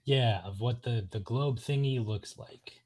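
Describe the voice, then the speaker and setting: Mid-pitched man in casual co-host chat. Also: measured pace, darkish tone.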